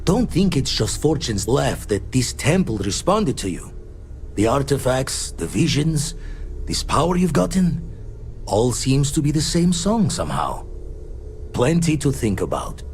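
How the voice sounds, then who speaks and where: An actor playing a thoughtful space explorer in a video game, speaking in folksy, clipped phrases. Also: male voice, mid-range voice, very animated, unhurried, a little mellow. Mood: musing, explanatory. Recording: music behind the voice.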